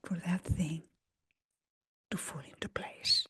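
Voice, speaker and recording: A woman leading a guided meditation in a breathy, husky near-whisper, speaking gently in short phrases with long pauses. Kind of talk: slow guided meditation talk.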